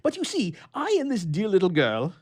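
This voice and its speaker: A man playing a grumpy, flustered bachelor with a soft heart, telling his tale with theatrical bluster and stammering in old-fashioned storybook prose. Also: high voice, wildly swooping pitch, moderate pace, voice pushed up, heavily acted. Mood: flustered, defensive.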